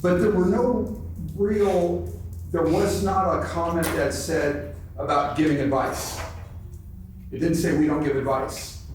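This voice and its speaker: Echoey, distant man, slightly high-pitched. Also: steady tone, a little slow. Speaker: twelve-step meeting member sharing. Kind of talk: informal group discussion share. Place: large echoey indoor convention room. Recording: echoey room, distant mic, faint noise.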